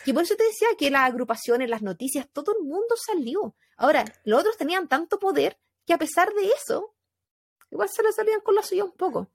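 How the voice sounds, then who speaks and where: A woman with a Chilean accent telling a true crime story in casual podcast chat, animated, with fillers and laughs. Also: very high voice, quick pace, no room sound.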